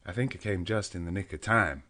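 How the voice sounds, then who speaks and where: A man's voice: deep voice, brisk, bright, forceful tone, voice pushed up. A man voicing a brave, frank young American man who speaks heartily and directly. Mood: earnest.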